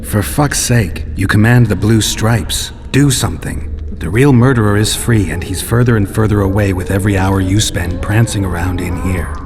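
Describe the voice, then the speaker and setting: An actor playing a gruff, wry captive monster-hunter in a video game, with terse, dry, blunt delivery. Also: male voice, on the low side, moderate pace, hardly any pauses, darkish tone, natural voice. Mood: impatient, urgent. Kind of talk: dramatic video game acting. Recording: long reverberant hall, music behind.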